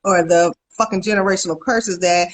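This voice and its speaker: A woman's voice: on the low side, fairly even intonation, a little fast. A woman in her fifties, a therapist, talking bluntly in casual podcast chat with profane comic outbursts. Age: 50s. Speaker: podcast co-host; therapist and CPR instructor.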